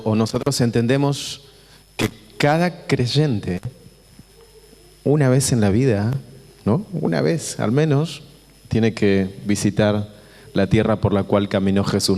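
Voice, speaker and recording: A man from Buenos Aires with an Argentine accent, preaching informally to a congregation in a conversational, halting way, repeating phrases and checking in with his listeners. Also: medium pitch, darkish tone. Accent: Argentine Spanish. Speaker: visiting preacher. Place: church auditorium. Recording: reverberant hall with long echo.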